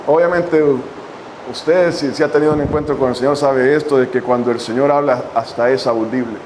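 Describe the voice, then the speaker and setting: A man preaching a sermon as a pastor, reading scripture slowly and addressing his congregation with long pauses. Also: fairly high pitch, darkish tone, a little hiss or hum, poor audio. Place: church hall.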